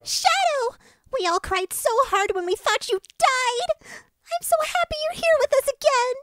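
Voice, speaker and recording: A woman doing a gushing, squeaky girl hedgehog. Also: sing-song, a little slow, a bit bright, dry recording, clean studio sound, full character voice. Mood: overjoyed. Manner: effusive and overjoyed.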